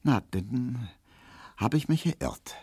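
A man voicing a timid young barber, meek and hesitant, who stumbles over his words. His voice is quiet and close, recorded dry on an old radio reading. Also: mid-range voice, lively intonation, slow pace, breathy edge, no room sound, voice pushed up, exaggerated voice.

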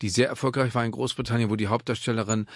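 Man interviewing, haltingly. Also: medium pitch, steady tone, no room sound, clean studio sound. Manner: halting, repeats and restarts words while forming questions. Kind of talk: conversational literary interview.

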